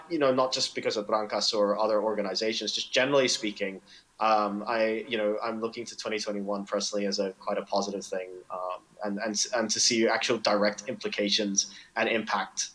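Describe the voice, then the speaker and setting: A man's voice: on the low side, a bit bright, low-quality recording. Talkative man peppering answers with "right?". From Indonesia. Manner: peppers speech with "right?" and "you know". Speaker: fintech product executive, guest. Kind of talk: conversational interview answer.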